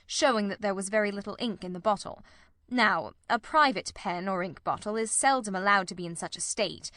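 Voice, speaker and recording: A woman voicing a confident, analytical gentleman detective who lectures precisely, in an audiobook. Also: medium pitch, dry recording, studio quality, lightly acted. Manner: didactic, precise. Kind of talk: audiobook character reading.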